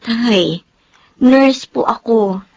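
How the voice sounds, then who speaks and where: A woman's voice: fairly high pitch, very slow, darkish tone, low-quality recording. A woman acting a caring young nurse, a devoted daughter, in a Filipino radio drama with faint noise. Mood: caring, pleading.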